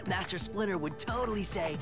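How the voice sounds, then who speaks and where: An actor playing a goofy, laid-back teenage mutant turtle in a cartoon game, playful, teasing and slangy. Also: male voice, high-pitched, a little fast. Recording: loud music under the voice.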